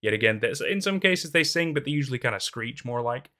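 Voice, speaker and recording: A man's voice: medium pitch, expressive, a little fast, pushed and bright. A young man narrating a horror story, then chatting casually with lots of fillers and restarts, recorded in a small room at a desk. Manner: rambling, hesitant with many fillers in commentary. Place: small room in front of green screen.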